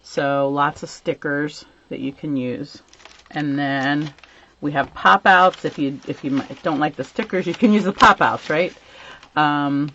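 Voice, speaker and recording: A chatty woman hosts a live craft class at home, greeting viewers by name between plenty of ums. Her voice is gravelly and somewhat worn, since she says she is losing it, and the stream audio sounds dull and lo-fi. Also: low-pitched, fairly bright tone, a little hiss or hum. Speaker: host of a live stamping class. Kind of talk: casual live-stream chat and craft demo.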